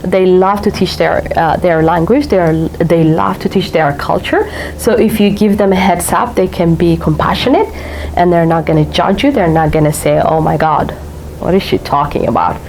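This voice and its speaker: A woman's voice: fairly low pitch, normal speed, darkish tone, a little hiss or hum, rough recording. A woman from Africa with an Eritrean accent, speaking as a guest author at a library talk, laughing often and repeating words for emphasis. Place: public library room.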